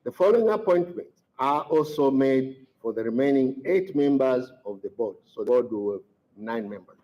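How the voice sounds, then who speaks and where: A man's voice: medium pitch, expressive, normal speed. A man with a Zambian accent, a government minister, making a formal official announcement. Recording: dull, slightly lo-fi event audio.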